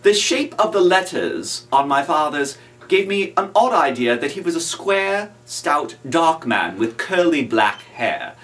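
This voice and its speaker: A man giving a dramatic first-person reading of a classic novel, with theatrical, deliberate phrasing. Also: on the high side, sing-song, a bit bright, far from the mic. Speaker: reader.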